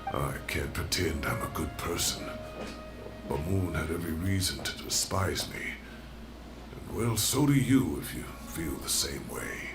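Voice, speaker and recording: A man's voice: rumbling low, unhurried, over music. A man playing an aging male professor in a video game, a teasing, self-deprecating and wistful mentor. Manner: playful, expressive, hesitant when sincere. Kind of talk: scripted video game voice acting.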